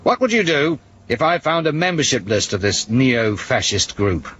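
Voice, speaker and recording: An insistent, accusing police inspector in a 1970s radio drama. His pitch moves freely as he presses his case, on a slightly muffled recording with faint noise. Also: very animated, normal speed, a bit bright. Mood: indignant. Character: dogged, indignant male East German police inspector. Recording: dull, lightly noisy 1970s radio recording.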